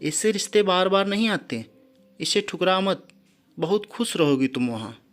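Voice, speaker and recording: Domineering middle-aged storybook father, played by the male narrator, insistent, slightly high, light music bed. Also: lively intonation, measured pace. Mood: coaxing, insistent. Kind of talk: storybook character dialogue. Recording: background music under the voice.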